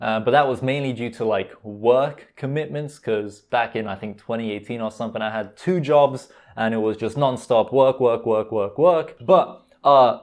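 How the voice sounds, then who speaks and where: A man's voice: medium pitch, normal speed, hardly any pauses. A twenty-year-old man from Lithuania chatting casually and slangily in a vlog, with a mostly British accent that carries slight American and Lithuanian touches. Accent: mostly British with slight American and Lithuanian tinge. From Lithuania, later moved to the UK. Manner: informal, slangy, with frequent "like", "dude" and "man". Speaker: YouTuber.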